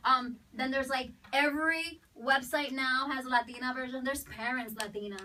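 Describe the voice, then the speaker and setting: A young woman with a high, bright voice hosts a casual call-in talk show. She is chatty and animated and laughs easily. Her voice sits a little back from the mic. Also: moderate pace, distant mic, a little hiss or hum. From Dominican American, New York.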